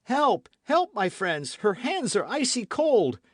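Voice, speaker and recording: A frantic young hero who cries out loudly and urgently, his voice pushed high, as a male reader acts him. The pitch leaps around, and the sound stays dry and close in a storybook reading. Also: very animated, moderate pace, no echo. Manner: crying out, urgent. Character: young man, passionate and frantic, devoted lover.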